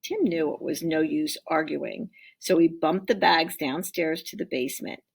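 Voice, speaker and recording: A woman teacher reading a children's story aloud in an expressive storytelling style. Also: sits low, normal speed, creaky, slightly rough.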